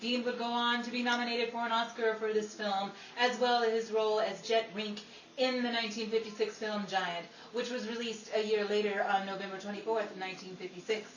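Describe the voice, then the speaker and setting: A woman playing a brisk, sassy Hollywood showgirl and presenter in old-time radio style. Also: mid-range voice, fairly even intonation, lo-fi sound, natural voice. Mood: announcing. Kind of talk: old-time radio comedy acting and newsflash narration. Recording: echoey, distant recording with faint noise.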